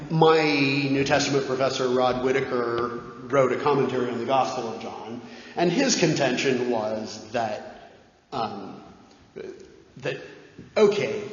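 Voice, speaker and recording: Chatty priest in an echoey hall. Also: male voice, medium pitch. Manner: conversational, keeps checking in with "right?". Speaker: parish priest answering questions. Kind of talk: casual Q&A talk. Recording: echoey dull church hall recording.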